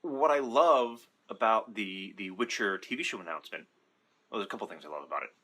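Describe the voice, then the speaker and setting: A man's voice: lowish voice, expressive, fairly quick, bright, forceful tone. A man giving casual, unscripted opinion commentary in an informal, rambling way, correcting himself as he goes and sometimes swearing. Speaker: video commentator.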